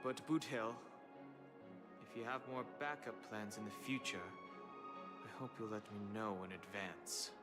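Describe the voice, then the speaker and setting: A man voicing a serious, cautious young adventurer in a video game, measured, formal and earnest. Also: fairly low pitch, lightly acted. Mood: cautious, firm. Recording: game music under voice.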